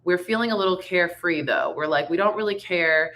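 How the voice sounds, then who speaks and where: A woman's voice: lowish voice, fairly quick, fairly bright tone. A woman giving a casual tarot forecast, chatty and repetitive, often checking in with her listeners. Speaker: tarot reader.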